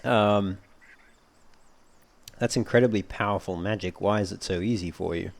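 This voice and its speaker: A man giving casual, low-key let's play commentary, musing aloud as he plays. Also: normal speed, gravelly. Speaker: gaming video host. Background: game music.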